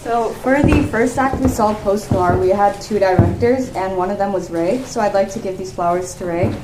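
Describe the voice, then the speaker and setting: A woman's voice: medium pitch, moderate pace. A young woman giving an informal thank-you speech in an echoey room with background noise. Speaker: student cast member giving thanks.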